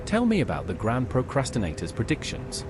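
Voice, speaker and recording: A man's voice: mid-range voice, wildly swooping pitch, brisk, noisy background. A man voicing an earnest, helpful adventurer hero in a fantasy game. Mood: earnest, inquiring. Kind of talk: AI-voiced fantasy game dialogue.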